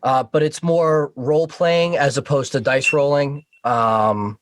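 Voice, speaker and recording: A man's voice: mid-range voice, steady tone, a little slow, no echo. A man with a mid-range voice talks as a guest on an online podcast. He explains things casually, like an enthusiast, in a dry recording with very little room sound. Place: online video call.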